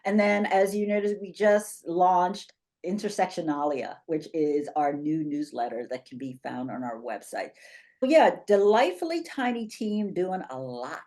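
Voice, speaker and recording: A woman in her fifties with a notably low voice speaks as an interview guest. She is articulate and animated, talking over a webcam from a home office on a video call. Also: measured pace. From Bahamas. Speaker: guest, professor and institute founder. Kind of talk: conversational interview answers.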